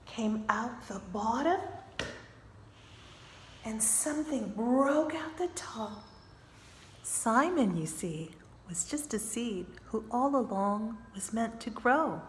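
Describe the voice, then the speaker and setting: In a roomy, echoing space with faint noise, a woman tells a children's story. Her voice sits fairly high and she takes her time, expressively inviting listeners to move along with the tale. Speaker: storyteller, author reciting own story. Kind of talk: children's storytime with movement cues.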